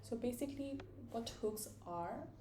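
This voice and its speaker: A woman's voice: fairly high pitch, lively intonation, unhurried, dark tone, a little hiss or hum. A young woman from India with an Indian accent, casually thinking aloud as she walks through a coding tutorial, with fillers and self-corrections. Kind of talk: screen-recorded coding tutorial.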